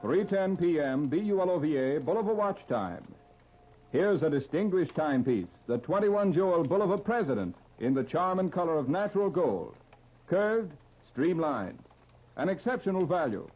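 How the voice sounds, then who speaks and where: A man announcing in formal, deliberate 1930s radio advertising style, on an old broadcast recording. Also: fairly high pitch, sing-song, lo-fi sound. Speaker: station announcer reading an advertisement.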